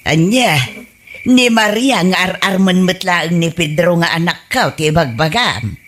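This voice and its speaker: A woman's voice: fairly low pitch, unhurried, fairly bright tone. A woman with an Ilocano accent playing an exasperated, scolding middle-aged mother in a radio comedy drama.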